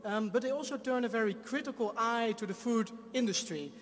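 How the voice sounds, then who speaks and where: High-voiced man presenting on stage. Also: a little fast. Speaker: jury member presenting an award. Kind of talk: award presentation speech. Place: large hall. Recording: hall reverb from a stage mic.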